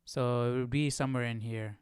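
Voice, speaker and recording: A man's voice: medium pitch, fairly even intonation, fairly quick, a little mellow, clean tone, dry recording, studio quality. A man hosting an informal Bible study livestream, chatting casually and thinking aloud as he goes.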